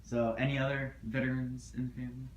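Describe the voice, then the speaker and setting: A man's voice: medium pitch, level tone, fast. A man interviewing a relative, asking short prompting questions in an oral history interview. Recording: dull echoey room, faint noise.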